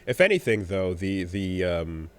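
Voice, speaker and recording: A man co-hosting a podcast in casual chat, rambling and halting with many restarts, a bit winded after being sick. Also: low-pitched, lively intonation. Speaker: podcast co-host.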